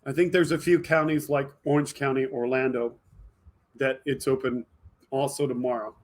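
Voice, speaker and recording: A man hosting a video podcast, delivering an impassioned, emphatic political monologue straight to his viewers, swinging between forceful outbursts and lower asides. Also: little pitch movement, moderate pace. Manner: emphatic, fired up, addressing viewers directly. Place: indoor home studio with green screen.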